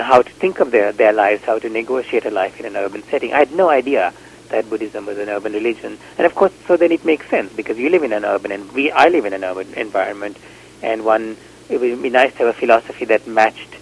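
A man's voice: fairly low pitch, brisk, gravelly, a little hiss or hum, rough recording. A man from Sri Lanka, a novelist, answering interview questions in a reflective, hesitant way with many fillers and restarts.

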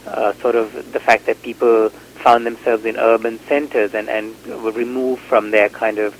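A man's voice: mid-range voice, flat delivery, normal speed, slight background noise, rough recording. A man from Sri Lanka, a novelist, answering interview questions in a reflective, hesitant way with many fillers and restarts.

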